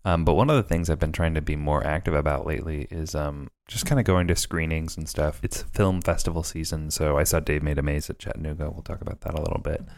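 A man's voice: rumbling low, steady tone, quick pace, few pauses, a little mellow. A man co-hosting a filmmaking podcast, chatting casually and enthusiastically with plenty of ums and uhs. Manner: chatty and enthusiastic, many fillers. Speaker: podcast co-host. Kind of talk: casual conversational podcast chat.

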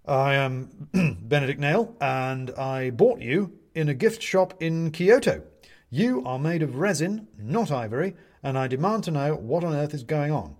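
A man's voice: a little high, expressive, measured pace, a little mellow, pitched above the performer's own voice. A man voicing a prickly, indignant character in a story reading, stiff and insistent.

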